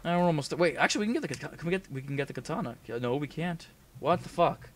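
A man's voice: fairly high pitch, animated, racing. A young man casually commentating a shooter game at home, rambling and swearing as he chats with his viewers over a desk mic. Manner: rambling, profane, chatty with viewers. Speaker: gaming commentator. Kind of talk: casual let's-play commentary. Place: small room at home.

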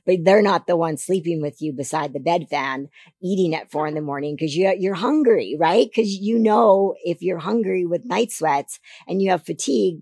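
A woman in her forties from Canada, a podcast guest, talking emphatically about health in a casual interview into a boom mic in a studio. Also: gravelly, husky, dry recording. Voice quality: deeper voice than she once had. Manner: emphatic, animated advice-giving. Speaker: podcast guest, figure competitor. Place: studio set on a sofa. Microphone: boom mic on a stand.

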